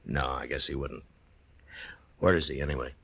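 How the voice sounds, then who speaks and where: Deep low voice, American frontier marshal, steady, laconic, dry wit, unhurried, old-time radio western. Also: male voice, vocal fry, breathy edge, full character voice. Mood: watchful. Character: steady, dryly humorous lawman in his prime, Old West marshal.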